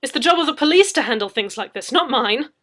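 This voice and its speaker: An indignant, impetuous young man in an audiobook story, as a woman plays him. The voice is high and jumps up louder, with emphatic protests and a cheeky, self-important air. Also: lively intonation, quick pace. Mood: indignant, protesting. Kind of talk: dramatic audiobook character reading.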